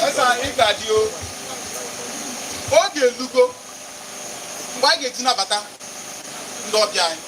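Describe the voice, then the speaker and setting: A man playing a proud, indignant spokesman of an Igbo king's court in a loud, declamatory, repetitive stage style with an Igbo Nigerian accent. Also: very high-pitched, moderate pace, pauses often, background noise. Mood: ceremonial, commanding. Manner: emphatic, repetitive, exclamatory. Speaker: stage actor.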